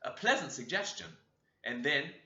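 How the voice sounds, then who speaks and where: A man reading a classic novel aloud with an expressive, varied delivery. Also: on the high side, normal speed. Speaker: audiobook reader. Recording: roomy dull lo-fi recording.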